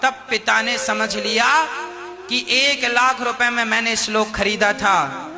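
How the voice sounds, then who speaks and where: A man's voice: very high voice, steady tone, takes it slowly. A man telling a moral story in a conversational, preacher-like way, acting out the dialogue as he goes. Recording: long hall reverb, music underneath. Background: music.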